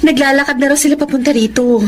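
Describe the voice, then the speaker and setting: A woman's voice: high voice, fairly even intonation, quick pace, slight background noise, close to an everyday voice. A woman acting a coy, hopeful young woman in love in a Filipino radio drama, with a bubbly manner. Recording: crowd chatter behind the voice.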